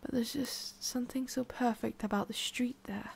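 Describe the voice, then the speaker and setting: A woman voicing a haughty, prickly witch with a hidden soft side in an ASMR roleplay, soft and often whispery with a creaky edge. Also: fairly high pitch, steady tone, normal speed. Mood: wistful, nostalgic. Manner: soft, often whispery, breathy sighs, curt asides.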